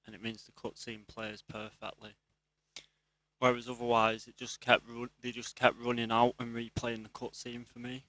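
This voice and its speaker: A man's voice: medium pitch, completely flat delivery, normal speed, fairly bright tone, dry recording, rough recording. A man giving casual let's play commentary as a video host, explaining things step by step to viewers. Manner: explanatory, talking viewers through steps.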